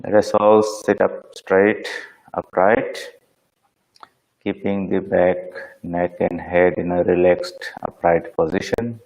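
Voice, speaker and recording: Slow, low-voiced man guiding meditation. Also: gravelly, dull audio. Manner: calm, measured, solemn, phrase by phrase. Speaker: meditation teacher leading a session. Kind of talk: guided meditation instruction.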